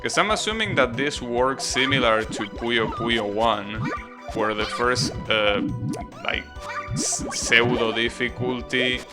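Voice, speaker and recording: A man doing casual live game commentary, chatting with viewers in an opinionated, grumbling way with mild swearing. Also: mid-range voice. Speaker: game streamer. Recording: game music playing behind the voice. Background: video game music.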